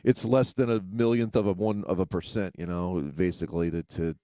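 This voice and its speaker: A man's voice: low-pitched, brisk, dark tone, no room sound, studio quality. A man co-hosting a casual podcast, easygoing and laid-back in how he talks. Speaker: podcast co-host.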